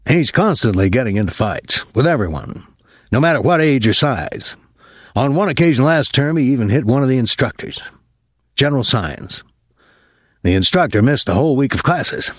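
A man voicing a nervous, apologetic older military academy colonel in an audiobook, speaking formally and haltingly with some embarrassment. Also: mid-range voice, lively intonation, no room sound, studio quality, pitched above the performer's own voice, lightly acted. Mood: uneasy, grave.

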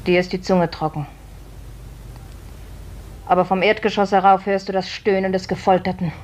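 A woman's voice: medium pitch, flat delivery, moderate pace, a little mellow, natural voice, low-quality recording. Bitter, compassionate serving woman female actor, mid voice, vintage radio play, light noise. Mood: reproachful. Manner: reproachful, pointed. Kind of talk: 1950s German radio drama acting. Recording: old radio recording, slight hiss.

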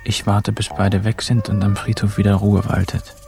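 An actor playing a wry, laconic pensioner who narrates a radio crime drama in the first person. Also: male voice, on the low side, level tone, normal speed, creaky, music in the background.

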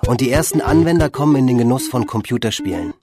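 A man's voice: medium pitch, lively intonation, quick pace. A man reading scripted narration for a radio documentary. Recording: loud music under the voice. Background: loud music.

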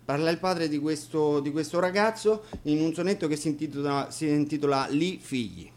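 A man introducing songs informally at a live radio concert, halting and restarting as he searches for words. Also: on the high side, a little mellow, soft background music. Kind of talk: informal spoken song introductions.

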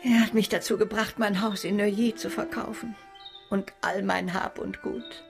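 An actress as a quirky old woman in a radio crime play, her voice full of creak. She is plain-spoken and sounds like someone who has been let down in love and tells it straight. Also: mid-range voice, steady tone, normal speed, music in the background, natural voice. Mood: wry, aggrieved. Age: older. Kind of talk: German radio crime drama acting.

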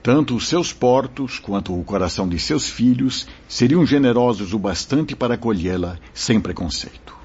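An actor playing a gentle, solemn spirit mentor in a radio drama, fatherly and reassuring, with formal, measured delivery. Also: mid-range voice, expressive, slightly rough. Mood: solemn recounting. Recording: dull lo-fi radio drama recording.

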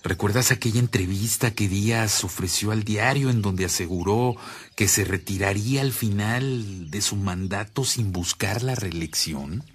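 An actor with a Mexican accent playing an earnest, reflective priest in a radio drama, speaking in a measured, solemn way. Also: male voice, on the low side, husky. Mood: grave. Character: earnest, reflective Mexican priest, calming his worried sister. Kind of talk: Spanish-language radio drama acting.

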